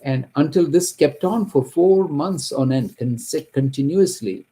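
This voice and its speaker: A middle-aged man from Kerala, India, who grew up speaking Malayalam, gives an earnest, halting account of his own story from his home office. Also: fairly high pitch, animated, dark tone. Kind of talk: personal testimony to camera.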